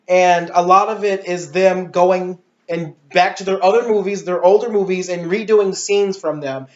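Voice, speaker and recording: An adult man giving a casual, opinionated movie review in a blunt, exasperated, slangy way. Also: high-pitched. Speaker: video reviewer and host. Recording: echoey room, dull lo-fi audio.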